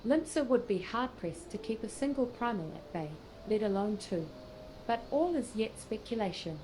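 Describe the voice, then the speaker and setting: Woman acting a pensive scholarly sage, mellow voice. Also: on the high side, lively intonation, a little hiss or hum, close to an everyday voice, poor audio. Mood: wary pondering. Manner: measured, pensive, archaic phrasing. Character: earnest scholarly adult female sage, pensive and formal. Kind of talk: scripted fantasy game dialogue.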